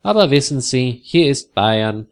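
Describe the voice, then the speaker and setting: A man voicing a friendly older retired man in a slow storybook for language learners. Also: medium pitch, lively intonation. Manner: friendly, explaining.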